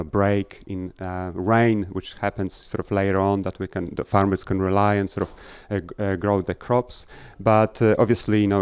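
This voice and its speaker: Man, low voice, smooth and clear, dry sound, halting delivery, many fillers. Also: darkish tone, dry recording. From Poland. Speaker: agricultural researcher. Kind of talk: talk to an audience. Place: festival tent.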